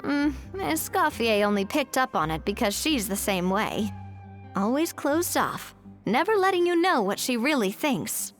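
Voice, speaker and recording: A wry, teasing woman from a video game. The voice is high and animated, with dry asides over light background music. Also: a little slow.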